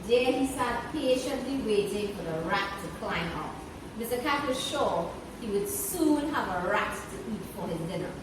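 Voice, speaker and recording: A woman reading a children's story aloud as narrator, expressive and deliberate, stressing key words. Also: a little high. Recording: echoey room, distant mic, clear background noise.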